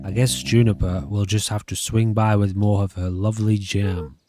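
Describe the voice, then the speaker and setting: A man's voice: lowish voice, level tone, normal speed, darkish tone, dry recording, natural voice. A man voicing a chatty, smitten old shopkeeper in a story game. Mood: wistful, smitten.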